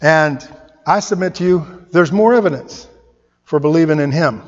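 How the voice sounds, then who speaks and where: Emphatic pastor preaching in a hall. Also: male voice, on the high side, moderate pace, dull audio. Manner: emphatic, rhetorical questions, repeats key phrases. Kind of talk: sermon.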